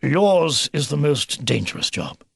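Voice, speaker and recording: Man voicing a blustery old grandfather beaver, gravelly. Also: on the high side, big pitch swings, unhurried, dry recording, clean studio sound, voice pushed up, exaggerated voice. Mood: brisk, rueful. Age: older. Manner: hearty old-fashioned British military bluster. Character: kindly old grandfather beaver, excitable and gruffly military.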